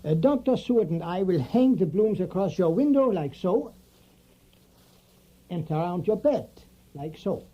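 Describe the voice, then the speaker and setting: A grave, fierce professor-physician in an audio drama. The voice is husky and speaks with formal, deliberate authority. The recording is somewhat dull. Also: male voice, high-pitched, expressive, warm tone. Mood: solemn instruction.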